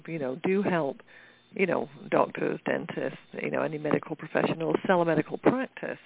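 A woman's voice: sits low, vocal fry. A woman hosting a radio interview, upbeat and chatty, with many "you know" fillers. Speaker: radio show host. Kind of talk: hosted interview chat. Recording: phone-like lo-fi line.

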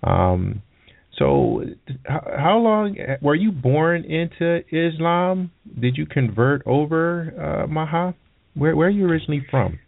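A man hosting a podcast, talking haltingly with many fillers and stammered repeats. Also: a little high, expressive, gravelly, dry recording. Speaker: podcast host. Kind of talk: conversational interview. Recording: tinny phone-like line, dry.

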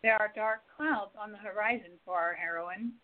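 A woman's voice: mid-range voice, normal speed. A woman narrator reading scripted scene introductions and credits for a radio play. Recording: tinny phone-like line.

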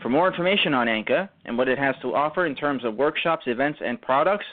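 A man presents a live radio show in a mid-range voice. His phrasing is formal and ornate, like a scripted announcement, with halting stumbles along the way. The sound is thin and narrow, as if down a telephone line. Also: mid-range voice, lively intonation. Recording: tinny phone-like internet radio line.

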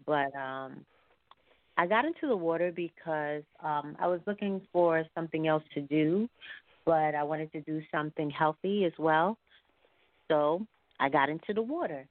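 A woman's voice: deep voice, moderate pace, dry recording. A woman talking casually as an interview guest over a call. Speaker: guest, singer and entrepreneur. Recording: thin phone-like call audio.

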